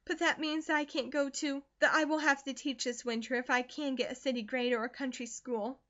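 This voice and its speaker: A woman reads aloud, doing an earnest teenage schoolgirl who is anxious and pleading. The voice sits very high and bright. The recording is dull and lo-fi. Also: level tone, fairly quick. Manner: pleading and distressed.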